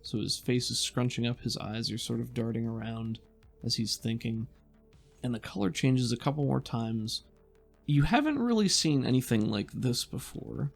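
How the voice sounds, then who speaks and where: A man's voice: mid-range voice, normal speed, gravelly, faint music behind. A man narrating an improvised tabletop roleplaying game as game master, describing scenes and giving rulings.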